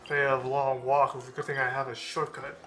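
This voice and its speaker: A man's voice: mid-range voice, level tone, fairly quick. A man giving informal, rambling live commentary as he streams a game. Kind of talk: casual live gameplay commentary. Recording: roomy mic, game music behind.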